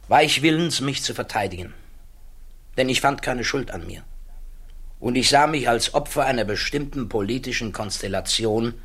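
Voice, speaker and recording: Radio drama role: reflective physicist of conscience, formal, deliberate, rhetorical, mid-pitched. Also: male voice, fairly bright tone. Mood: grave, reflective. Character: grave, reflective male physicist defending his conscience. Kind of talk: radio play monologue, formal stage German.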